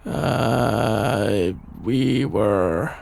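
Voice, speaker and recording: Shy, sleepy young male best friend for ASMR audio drama, played by a man: unhurried, gravelly vocal fry, hesitant and easily flustered delivery. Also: on the low side, darkish tone, full character voice. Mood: flustered, embarrassed. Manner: hesitant, halting, trailing off with sighs and nervous chuckles. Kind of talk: intimate ASMR audio roleplay, improvised-sounding dialogue.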